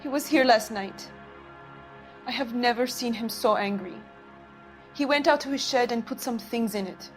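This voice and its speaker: A woman's voice: fairly high pitch, normal speed, pauses often, close to an everyday voice. A woman playing a defiant, loyal young pregnant girlfriend in a game drama. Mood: anxious. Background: background music.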